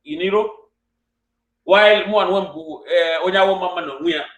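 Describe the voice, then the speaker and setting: A man with a West African accent hosts an interview in a fairly high voice. He speaks earnestly in declarative, repeated phrases. The sound is roomy and echoing, as if he sits some way from the microphone. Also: normal speed, a bit bright. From Cameroon. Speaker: interviewer and host. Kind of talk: earnest monologue and interview questions. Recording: distant, echoey room sound.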